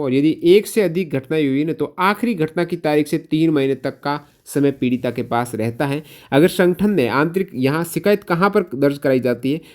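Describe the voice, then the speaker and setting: A man's voice: medium pitch, dark tone. A man working as an exam coaching teacher, explaining questions to students, repeating answers for emphasis and mixing in English technical terms.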